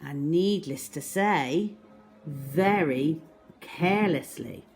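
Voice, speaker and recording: A woman reading a picture book to young children as a storyteller, with dramatic pauses and emphasis, speaking directly to her listeners. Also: low-pitched, a little mellow, soft background music.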